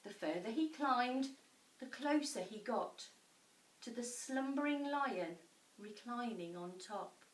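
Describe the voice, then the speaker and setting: A woman reading a picture book to children in an expressive, dramatic style with deliberate pauses. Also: on the high side. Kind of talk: expressive storybook narration for children. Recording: echoey room, distant mic.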